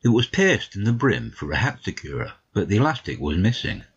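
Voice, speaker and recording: A man playing a modest, polite gentleman narrator, the detective's puzzled friend, in a dramatic audiobook on a dull recording. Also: lowish voice, very animated, brisk, husky, heavily acted. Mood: measured, wry. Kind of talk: dramatic audiobook reading, storytelling.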